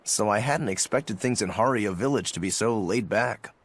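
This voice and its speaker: Laid-back, lowish-voiced fighter. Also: male voice, normal speed, no echo. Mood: matter-of-fact. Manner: casual, wry, colloquial. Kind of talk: video game voice acting.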